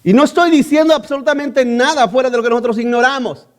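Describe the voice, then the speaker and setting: A man's voice: extremely high pitch, fast talker. A male pastor preaching a sermon, emphatic, often repeating key phrases and asking the congregation rhetorical questions.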